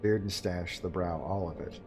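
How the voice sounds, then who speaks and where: A man's voice: low voice, normal speed, darkish tone, soft background music. A man streaming a game live, chatting casually and joking with his viewers as he plays. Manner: chatty, joking, talks to chat while playing. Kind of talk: casual live gameplay commentary.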